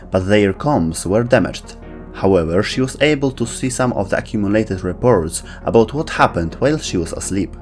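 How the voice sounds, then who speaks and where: Low-pitched man narrating over music. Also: a little mellow. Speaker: narrator of a game lore video. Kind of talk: scripted narration.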